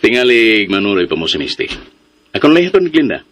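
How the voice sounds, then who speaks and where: An actor in a radio drama playing a smug, pushy, jealous man who teases and scoffs in a theatrical, drawn-out way. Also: on the low side, sing-song, noisy background. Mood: teasing, pushy.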